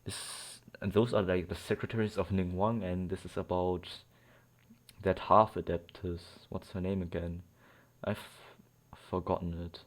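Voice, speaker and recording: A man's voice: low-pitched, flat delivery, measured pace. A man rambling casually over his gameplay, sighing, forgetful and full of fillers. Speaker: gameplay streamer.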